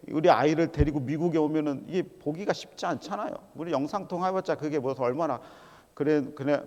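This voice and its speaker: A middle-aged man preaching a sermon as a pastor, animated and acting out quoted voices, calling out to the congregation. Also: fairly high pitch. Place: large church sanctuary. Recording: long hall reverb of a church.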